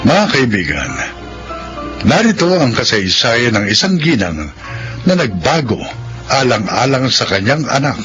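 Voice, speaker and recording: A man's voice: mid-range voice, very animated, a little slow. A man announcing credits and introducing a story as scripted, dramatic radio drama narration. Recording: dull lo-fi radio with faint music.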